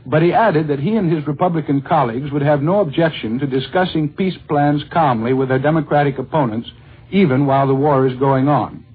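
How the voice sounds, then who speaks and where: An American man reporting the news as a 1940s radio correspondent, with a husky voice. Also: fairly high pitch, a little fast. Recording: scratchy narrow-band 1940s radio recording.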